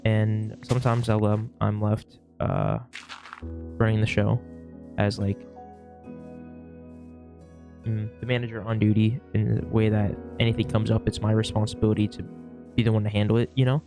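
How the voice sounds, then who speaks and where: A sick young man with a worn, gravelly voice, rambling casually through a vlog with lots of fillers and restarts. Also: lowish voice, normal speed, long pauses, music in the background. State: sick, losing his voice. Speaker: vlogger; shift manager at work. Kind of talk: casual talking-to-camera vlog.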